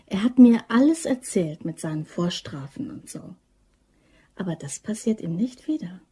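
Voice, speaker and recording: Radio drama role: chatty, insistent young woman devoted to her man, soft dark tone, slightly breathy. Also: mid-range voice, animated, moderate pace. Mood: earnest, defensive. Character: eager young woman devoted to her boyfriend, scheming, upbeat. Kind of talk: German crime radio drama acting.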